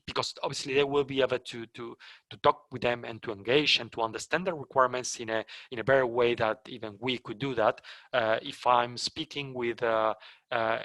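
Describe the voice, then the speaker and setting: A man whose first language is Spanish, giving a sales training webinar in a halting way with many fillers and self-corrections. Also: mid-range voice, pushed and bright, no room sound. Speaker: partner program trainer.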